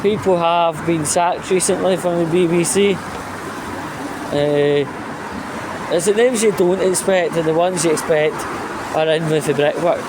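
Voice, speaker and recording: A man delivering an informal, blunt, sweary rant in a halting, emphatic way, as a vlog host. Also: on the high side, fairly even intonation, noisy background.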